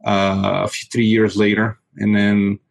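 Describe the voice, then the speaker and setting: A man in his forties from Moldova, a native Russian speaker, calmly talking about his real estate investing in a casual interview, often repeating words as he searches for them. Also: fairly low pitch, a little slow, vocal fry. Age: 40s.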